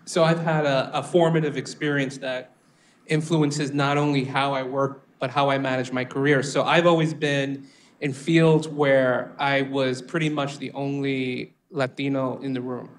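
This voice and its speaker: A man on a discussion panel, telling a reflective story about mentorship in a measured way. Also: mid-range voice, level tone, slight background noise. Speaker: panelist, venture capitalist. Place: event space with audience.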